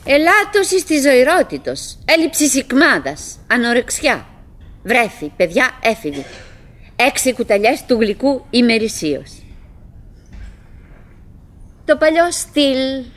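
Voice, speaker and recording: An actress playing a chattering, wistful middle-aged wife who is stubbornly cheerful, musing aloud in fragments and exclamations in a theatrical radio play. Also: female voice, sits high, very animated, long pauses. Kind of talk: theatrical radio drama monologue. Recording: noisy old radio drama recording.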